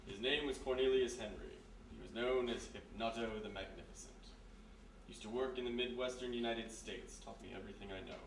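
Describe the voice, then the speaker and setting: A man playing a coolly polite, sinister stage magician in a radio mystery, speaking softly and measuredly. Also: mid-range voice, fairly even intonation, a little fast, natural voice. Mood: courteous, guarded. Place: live performance in a historic house. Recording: echoey live stage, distant, faint noise.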